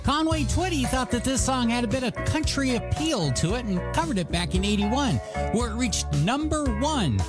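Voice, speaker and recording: Male music-radio host. Showy, highly animated announcer patter, pitched high, over a music bed. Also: moderate pace. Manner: showy, swooping announcer delivery.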